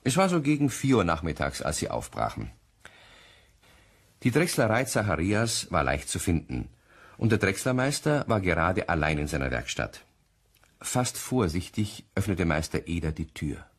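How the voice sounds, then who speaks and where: A man narrating a children's radio play as a storyteller, in a lively scripted style. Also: sits low, normal speed, slightly rough, full character voice. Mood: storytelling.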